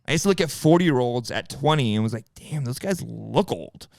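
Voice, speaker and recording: A man's voice: medium pitch, animated, moderate pace, creaky, darkish tone, no room sound, clean studio sound. A man in his forties co-hosting a podcast, chatting casually in a blunt, joking way and swearing freely. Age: 40s. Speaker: podcast co-host. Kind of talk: casual chat.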